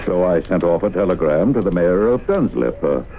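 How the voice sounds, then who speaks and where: An actor playing a gruff, wary American small-town mayor in a 1970s radio drama, speaking in a folksy, plain-spoken, hesitant way. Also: male voice, low-pitched, sing-song, normal speed. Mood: guarded, firm. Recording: narrow-band, noisy old radio recording.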